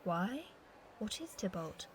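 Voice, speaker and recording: A woman's voice: medium pitch, sing-song, slow pace, a crowd in the background. A woman playing a level-headed, loyal young friend in a Shakespeare audio drama, plainly spoken and recorded on a phone. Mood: wry concern. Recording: home phone recording, faint noise.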